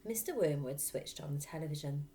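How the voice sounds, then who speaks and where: A woman reading a children's story aloud as a teacher would, in an expressive narrating style. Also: deep voice, brisk.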